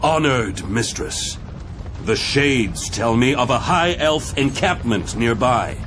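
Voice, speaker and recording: Slow, formal dark elf officer. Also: male voice, medium pitch, lively intonation, background noise. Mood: solemn, dutiful. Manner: formal, deferential. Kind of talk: scripted video game cutscene acting.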